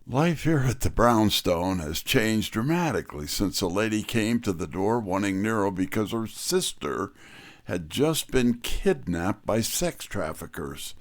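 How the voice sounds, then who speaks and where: Guy playing a wisecracking detective sidekick, lowish voice, takes his time, dry studio sound, scripted mystery. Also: sing-song, dry recording. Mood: sardonic. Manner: wry, folksy, unhurried. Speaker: narrator and detective's assistant. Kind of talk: scripted first-person mystery narration and dialogue.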